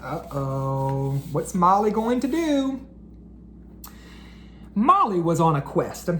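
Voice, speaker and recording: A woman reading a children's picture book aloud in an expressive, unhurried storyteller's voice. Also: on the low side, echoey room, slight background noise.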